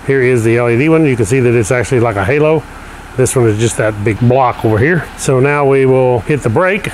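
A man's voice: medium pitch, fairly quick, darkish tone, a little hiss or hum, rough recording. A man giving a casual, practical how-to walkthrough while working with his hands in a garage, heard through a camera mic. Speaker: installer demonstrating a product. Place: garage, indoors.

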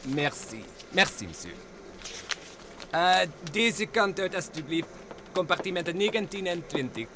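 Obliging French-speaking male attendant, high swooping voice, old radio drama. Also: big pitch swings, measured pace. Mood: polite, brisk. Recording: noisy old radio recording, train ambience.

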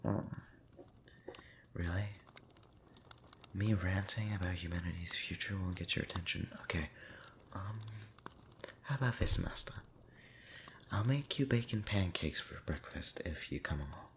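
Slow, whispery man with a gravelly creak. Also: deep voice, slight background noise. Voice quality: soft, breathy, often whispering. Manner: slow, rambling, hesitant with long pauses. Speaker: audio roleplay voice actor. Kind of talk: intimate ASMR roleplay monologue.

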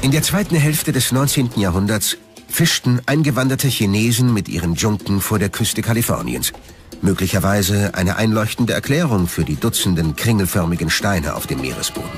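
Lively male documentary narrator, lowish voice. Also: normal speed, soft background music.

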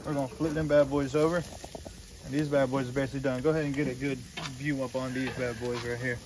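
A man in his twenties talks casually to camera outdoors, with clear background noise on the phone-camera audio. His voice sits at a middling pitch, and he speaks in loose slang, joking and repeating himself as a home cook would on a vlog. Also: little pitch movement, brisk. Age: 20s. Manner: slangy, jokey, repeats phrases. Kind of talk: casual chat to camera while cooking. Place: mostly outdoors in a backyard by a grill.

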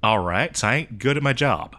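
A man's voice: medium pitch, animated, a little fast, bright, forceful tone. Guy doing a folksy old west drifter, exasperated n rambly, voice swings up and down, comedy audio roleplay. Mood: sheepish, rambling. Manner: folksy, rambling, hesitant improvised delivery. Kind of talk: improvised comedic audio roleplay addressed to the listener.

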